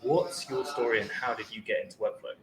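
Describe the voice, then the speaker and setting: A man's voice: medium pitch, fairly even intonation, a little fast. A man hosting a podcast, asking questions in a chatty, loose way. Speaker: podcast host. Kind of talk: casual podcast interview. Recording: roomy with faint background noise.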